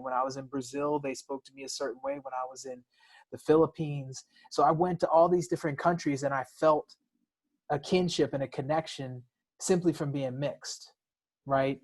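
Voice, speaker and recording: A man's voice: mid-range voice, darkish tone, poor audio. A man co-hosting a podcast, speaking reflectively in casual conversation, with frequent restarts and repeated words. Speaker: podcast co-host.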